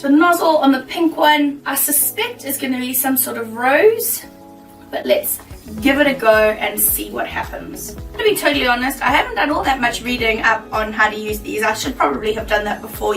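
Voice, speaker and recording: Croaky chatty woman vlogger, high gravelly voice, faint music behind. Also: animated, normal speed, a bit bright, roomy sound, far from the mic, rough recording. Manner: chatty, bubbly, laughs often. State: croaky, losing her voice. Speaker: baking vlogger. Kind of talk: casual chat to camera.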